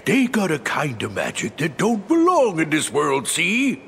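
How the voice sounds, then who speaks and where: A man voicing a big, bumbling cartoon bully with a gravelly, blustering delivery and folksy, uneducated grammar in a video game. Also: on the high side, wildly swooping pitch, a little slow, fairly bright tone, a little hiss or hum, full character voice. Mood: wary. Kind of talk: cartoon villain game acting. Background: game music.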